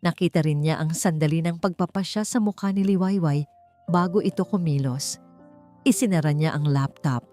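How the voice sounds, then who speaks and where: A woman narrating a scripted story in a dramatic, suspenseful style, with deliberate short emphatic phrases. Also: deep voice, dark tone. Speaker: audiobook narrator. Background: background music.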